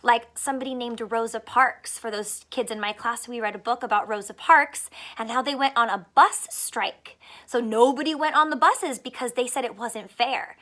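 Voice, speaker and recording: High, bright-voiced woman teacher reading aloud. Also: moderate pace, few pauses. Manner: expressive, simple child-directed questions and explanations. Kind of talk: picture-book read-aloud with explanations.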